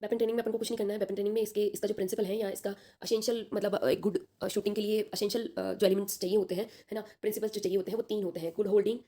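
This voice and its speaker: A woman's voice: mid-range voice, level tone, very fast, hardly any pauses, warm tone. A young woman with a sore throat teaching an online class, chatty and reassuring, checking in with her students often.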